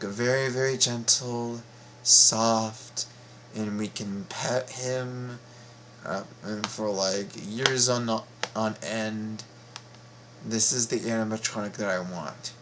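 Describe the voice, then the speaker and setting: A man giving casual reaction commentary, often halting and repeating his words. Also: mid-range voice, fairly bright tone, slight background noise. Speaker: reaction video host.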